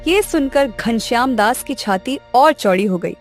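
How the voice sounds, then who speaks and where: Higher-pitched woman storyteller. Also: lively intonation, a little slow, soft background music. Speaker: narrator. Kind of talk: scripted children's story narration.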